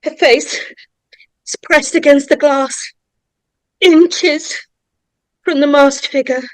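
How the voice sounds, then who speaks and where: High-pitched woman narrating horror, slow and deliberate. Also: steady tone, no echo, poor audio. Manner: suspenseful, deliberate, with dramatic pauses. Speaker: narrator of a script table read. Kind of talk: dramatic reading of horror screenplay stage directions.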